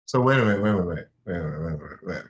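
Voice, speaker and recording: A man's voice: low-pitched, animated, fast talker, roomy sound, dull audio. A man hosting an interview, enthusiastic, cutting in with eager follow-up questions. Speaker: host.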